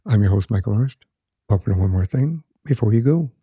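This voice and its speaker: A man's voice: fairly low pitch, animated, brisk, lo-fi sound, background noise. A middle-aged man hosting a podcast interview, friendly and rambling, often restarting his sentences. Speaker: podcast host. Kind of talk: conversational interview.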